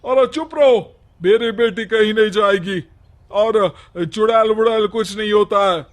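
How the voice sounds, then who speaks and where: Irritated cartoon village headman male actor: high voice, blustering, dismissive. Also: unhurried. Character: irritated village headman defending his daughter.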